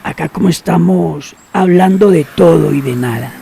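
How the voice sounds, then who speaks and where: An actor playing a secretive, cynical older man in a radio drama, who talks slowly and knowingly and drops to near whispers. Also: on the high side, gravelly, dark tone, a little hiss or hum. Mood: sly.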